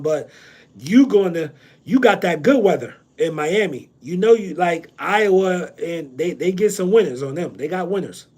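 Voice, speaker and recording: A man from New Jersey hosting a loose livestream Q&A on real estate, slangy and repeating himself with many ums. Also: high voice. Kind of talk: casual livestream Q&A.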